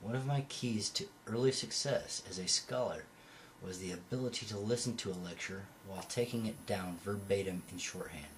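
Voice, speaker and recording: A man's voice: on the low side, normal speed, rough recording. A man reading a novel aloud as an amateur, sometimes stumbling over a word and correcting himself, on a home recording. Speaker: amateur audiobook reader. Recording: home recording with faint background noise.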